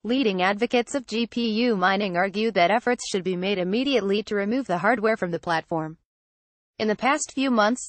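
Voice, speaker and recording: Woman narrator reading a news article, formal, mid pitch, dry recording no room sound. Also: no echo.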